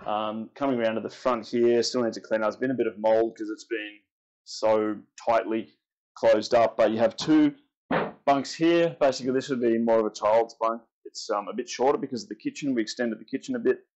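A young Australian man giving a relaxed, chatty walkthrough of his timber boat, pointing out features as he moves through the cabins. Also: mid-range voice, a little fast, highs cut off. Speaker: boat owner giving a tour. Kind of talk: casual walkthrough tour. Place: inside a timber boat's cabins.

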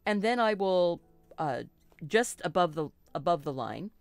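Middle-aged woman, art host, low-ish and slightly froggy, chatty and reassuring, close dry sound. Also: expressive, a little slow, dry recording, faint music behind. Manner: chatty and reassuring, with rambling asides and self-corrections. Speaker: art teacher hosting a live stream. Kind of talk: relaxed live painting tutorial.

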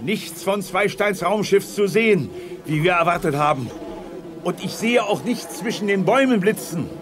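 An actor playing a grumbling, sceptical army general in a sci-fi radio drama, flustered and blustering. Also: male voice, sits high, lively intonation, moderate pace, music in the background. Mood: wary. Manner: blustering, exasperated. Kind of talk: 1980s German radio drama acting.

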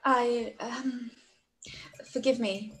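A young woman playing a gentle, polite, hesitant gentlewoman in a period radio play over a video call. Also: fairly high pitch, steady tone, extremely slow, noisy background. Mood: faint, hesitant. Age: young adult. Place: room at home, on a video call.

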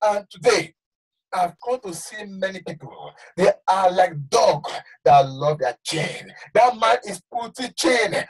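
A man preaching a sermon with fervor, shouting one moment and dropping to hushed warnings the next. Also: sits high, slow pace, husky. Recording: voice from far off the mic.

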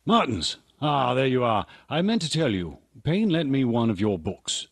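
Husky wry army major man acting, sardonic radio drama, very lively, dry close sound. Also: medium pitch, normal speed, dry recording. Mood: breezy, needling. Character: wry, dry, needling British military police major.

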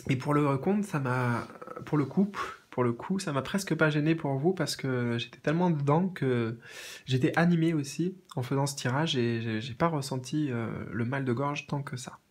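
A man with a cold and a sore throat giving a tarot reading in a gentle, repetitive way with many fillers. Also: mid-range voice, moderate pace, slight background noise. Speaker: tarot reader. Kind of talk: tarot reading, reading out card meanings. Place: indoors at a card table.

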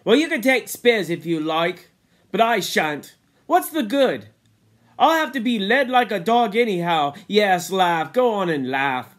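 A man's voice: high voice, animated, unhurried, a bit bright, pitched above the performer's own voice. A man voicing an indignant, pleading young boy in a storybook reading, speaking insistently in colloquial schoolboy grammar.

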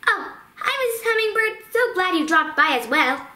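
A perky girl puppet hosting a kids' talk show. The voice is extremely high, bright and lively, sweet and eager, in an echoey room. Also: moderate pace, exaggerated voice. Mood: delighted. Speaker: host. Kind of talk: children's puppet show presenting. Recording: roomy echo.

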